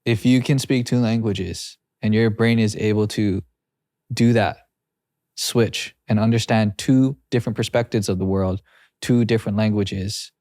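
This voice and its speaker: Young guy cohosting a podcast, low voice, rambles a lot, dry close mic. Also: no room sound. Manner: animated, rambling, quick to laugh. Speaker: podcast producer and co-host. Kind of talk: casual conversation.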